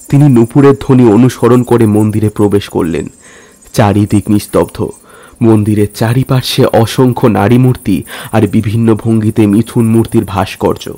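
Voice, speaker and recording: A man with a fairly low voice narrates a dramatic tale. His delivery is measured and literary, and music plays clearly behind him. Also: dark tone. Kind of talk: dramatic scripted story narration.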